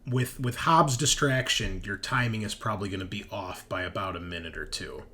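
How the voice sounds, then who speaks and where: A man running a tabletop game, narrating off the cuff in a casual, halting conversational way. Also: fairly low pitch, pushed and bright. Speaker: game master.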